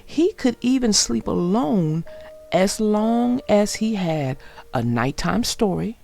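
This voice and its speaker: A woman narrating a children's storybook aloud with deliberate, expressive phrasing for young listeners. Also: medium pitch, vocal fry, soft background music.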